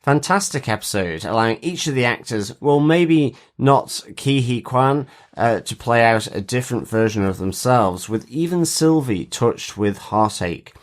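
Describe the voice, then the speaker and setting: A man's voice: mid-range voice, lively intonation, measured pace, few pauses. A man co-hosting a podcast, chatting agreeably and casually about TV and reading out listener feedback. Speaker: podcast co-host.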